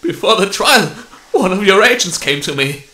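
A man's voice: high-pitched, animated, normal speed, bright, forceful tone. A man playing a rattled, defensive space officer under interrogation in a science fiction audio drama, agitated and stammering. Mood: rattled, pleading. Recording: echoey room with faint noise.

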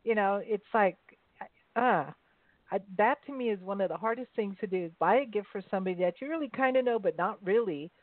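A woman radio host chatting with her guest, quick to laugh and react. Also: mid-range voice, no echo. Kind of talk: casual radio interview chat. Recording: dry, tinny phone line.

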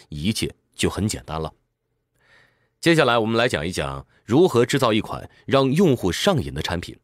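A man's voice: fairly low pitch, no echo, clean studio sound. A man narrates a scripted explainer in a didactic way, posing questions and answering them. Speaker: audiobook summary narrator.

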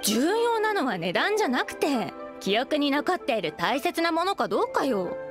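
High, composed young craftswoman. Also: very animated, moderate pace. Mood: earnest. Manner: composed, feminine phrasing. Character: composed, sincere young craftswoman, quietly devoted friend. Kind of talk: anime game voice acting. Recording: faint music behind.

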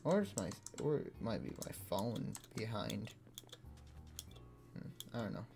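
A young man with a worn-out, gravelly voice chats slowly to his viewers while he plays. He mumbles at an easy, unhurried pace into a desk mic at home. Game music and keyboard clicks run under him. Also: lowish voice, wildly swooping pitch, darkish tone. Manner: slow, mumbling, talks to chat between plays. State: lost his voice. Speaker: streamer. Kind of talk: casual gaming livestream chat.

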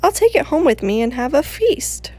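A woman voicing a small, greedy male mouse in a storybook reading, squeaky and whiny. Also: very animated, moderate pace, a little mellow. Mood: eager. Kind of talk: storybook read-aloud.

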